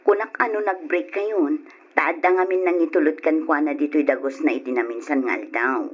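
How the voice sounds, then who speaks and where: A woman's voice: low-pitched, moderate pace, slightly rough, muffled recording. An actress with an Ilocano accent playing a blunt, gossipy older boarding-house woman in an old radio drama. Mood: apologetic, frank.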